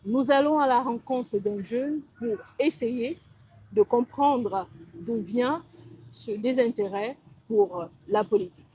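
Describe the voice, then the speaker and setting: Higher-voiced young Cameroonian woman interviewer. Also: takes it slowly, mellow, husky, low-quality recording. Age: 20s. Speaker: interviewer and host. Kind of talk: conversational interview. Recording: some background ambient noise.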